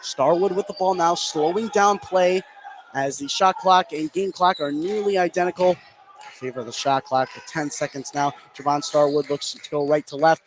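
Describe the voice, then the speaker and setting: A man's voice: on the high side, normal speed, a little hiss or hum. An American man doing live play-by-play basketball commentary on radio.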